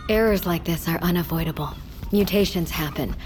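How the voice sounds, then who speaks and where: Audio drama, actress as curt obsessive scientist, lowish matter-of-fact delivery, slight background noise. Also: female voice, brisk, darkish tone, close to an everyday voice. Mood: cold, defensive.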